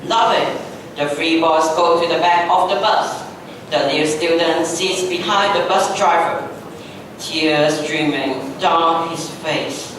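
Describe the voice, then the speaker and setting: A woman's voice: deep voice, slow pace. A young woman reading out scripted narration for a staged readers' theatre story. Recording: very echoey hall, distant mic, noisy.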